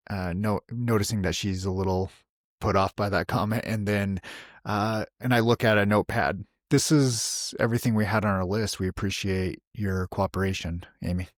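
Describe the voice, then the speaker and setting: Low-voiced man playing a tabletop game. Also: dry recording, studio quality. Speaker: tabletop roleplay player. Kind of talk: casual improvised roleplay.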